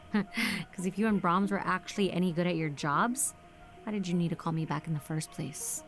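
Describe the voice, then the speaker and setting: A woman's voice: fairly low pitch, moderate pace, soft background music, close to an everyday voice. A woman playing a self-assured, defiant military operative, firm and cutting, in a scripted drama. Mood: defiant, scornful.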